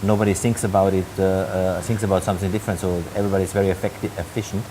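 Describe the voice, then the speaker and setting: A middle-aged man with an Austrian accent speaks in a very low, even voice. He talks quickly, stopping often for fillers and restarts. A steady hiss sits under the recording. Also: low-quality recording. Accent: Austrian German. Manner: hesitant, many fillers and restarts. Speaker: hotel general manager. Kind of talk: interview answers.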